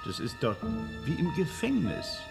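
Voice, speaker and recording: An actor playing a formal, theatrical man who speaks deliberately in a radio crime drama. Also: fairly high pitch, wildly swooping pitch, vocal fry, music in the background, full character voice. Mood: expository. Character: courtly, theatrical male actor playing detective.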